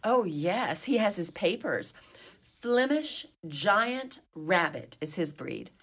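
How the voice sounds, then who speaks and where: A woman's voice: medium pitch, big pitch swings, takes it slowly, fairly bright tone, heavily acted. Woman doing snooty rich mansion lady, super dramatic, voice swoops all over, radio comedy. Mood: doting, grand. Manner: haughty, grand, formal, theatrical. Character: dignified, imperious wealthy mistress of an old mansion. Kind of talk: comedy radio play acting.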